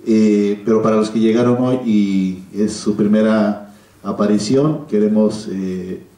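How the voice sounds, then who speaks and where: Middle-aged Mexican male panelist, measured and hesitant, dark low tone, distant hall recording. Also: fairly even intonation. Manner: measured, hesitant, pausing often. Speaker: panel speaker. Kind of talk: public round-table talk. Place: cinema auditorium stage. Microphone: handheld PA microphone, filmed from audience. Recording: echoey hall, distant camera microphone.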